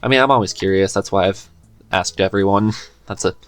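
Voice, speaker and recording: A man hosting a podcast, asking a guest questions in a relaxed, curious way. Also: sits low, fairly quick, faint music behind. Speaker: podcast host. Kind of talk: casual interview chat.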